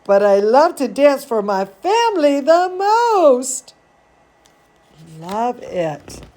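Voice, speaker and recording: A woman reading a picture book aloud to young children, gushing and expressive, with chatty asides to her listeners. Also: sits high, takes it slowly. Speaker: dance teacher hosting a children's story time.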